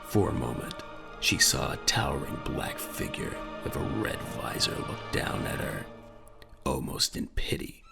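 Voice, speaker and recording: A man with a gravelly voice narrating a dramatic audio drama in a grave, measured storytelling manner. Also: lively intonation. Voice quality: very deep, gravelly. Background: faint music.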